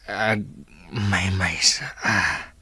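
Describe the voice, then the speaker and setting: An actor playing a feeble man who struggles to get words out, breathy and halting, with a Filipino accent, in a radio drama. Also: sits low, bright, forceful tone, dry recording, full character voice. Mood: strained, struggling. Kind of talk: Ilocano radio drama acting.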